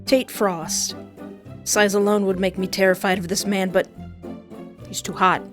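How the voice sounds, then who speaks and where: A young woman hosting a countdown of game characters, excitable and goofy, often interrupting herself. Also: medium pitch, measured pace, soft background music. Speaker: youtube host.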